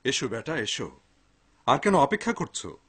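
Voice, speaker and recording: A man playing a cautious, pious father in a dubbed cartoon, grave, measured and admonishing. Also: mid-range voice, big pitch swings, very noisy, lightly acted. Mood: wary.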